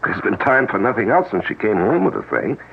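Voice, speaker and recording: Gravelly, very deep-voiced worried friend, stammering his offers of help; tinny old radio play. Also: big pitch swings, full character voice. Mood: amused. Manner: hesitant, halting, well-meaning. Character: concerned, hesitant friend, grown man. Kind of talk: 1970s radio drama acting.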